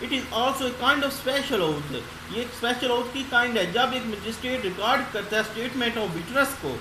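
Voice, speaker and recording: A woman with a Pakistani accent giving a law lecture, reading notes aloud deliberately and explaining them by restating. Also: a little high. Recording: roomy echo, loud background noise.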